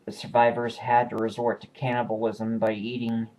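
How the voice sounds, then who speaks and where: A man casually talking through his new disc purchases, relaxed and unscripted, pausing and correcting himself as he goes. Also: mid-range voice, poor audio. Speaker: video collector showing new purchases. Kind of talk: casual home video review.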